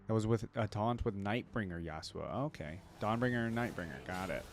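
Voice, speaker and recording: A man's voice: fairly low pitch, expressive, brisk, a little hiss or hum. A man giving casual reaction commentary on game voice lines, musing and thinking aloud. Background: game music and voice clips.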